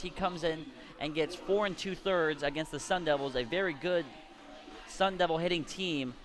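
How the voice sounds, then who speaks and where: A man's voice: fairly high pitch, fairly bright tone. A man giving conversational, explanatory color commentary on a live radio baseball broadcast. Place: at a baseball game. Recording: clear ambient noise behind voice.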